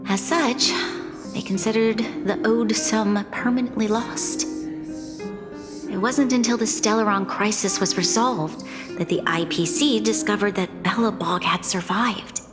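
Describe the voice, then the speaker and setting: A voice actress plays a young woman who leads a troubled city in a video game. She speaks formally and with measured, earnest weight. Her voice rings in a long reverb, with music clearly behind it. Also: medium pitch, natural voice. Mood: grave, troubled. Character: earnest, burdened young female leader of a besieged city. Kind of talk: video game cutscene voice acting.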